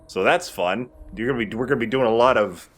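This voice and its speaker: A man's voice: mid-range voice, fast talker. A man casually commentating as he plays a video game, rambling, joking and swearing. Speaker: let's play host, playing the game. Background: game soundtrack music.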